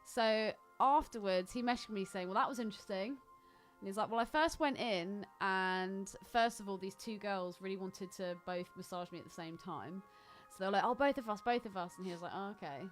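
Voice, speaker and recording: A woman's voice: medium pitch, fairly quick, faint music behind. A woman with a southern English accent co-hosting a podcast, telling gossipy stories in blunt, slangy, sweary language. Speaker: podcast co-host. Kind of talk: casual chat, gossipy storytelling.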